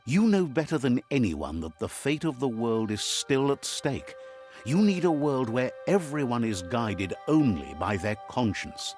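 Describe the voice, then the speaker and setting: A man narrating a video game in a measured storytelling style, relaying what the characters say. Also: mid-range voice, sing-song, darkish tone, soft background music.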